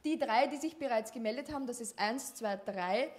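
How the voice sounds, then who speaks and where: A woman moderating a conference panel, briskly gathering questions from the audience and managing the time. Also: a little high. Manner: brisk, organizing. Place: conference hall.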